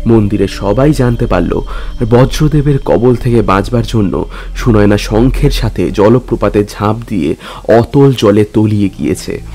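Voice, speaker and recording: A man reading a dramatic story as a narrator, with measured, literary delivery. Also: lowish voice, dark tone. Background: music.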